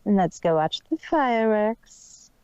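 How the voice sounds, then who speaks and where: A woman's voice: medium pitch, very animated, a little slow, a little mellow, clean tone, no echo, lo-fi sound. A woman voicing a smug, scheming female pony villain in a fan fiction reading, boastful and matter-of-fact. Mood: coolly menacing. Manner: matter-of-fact, self-satisfied.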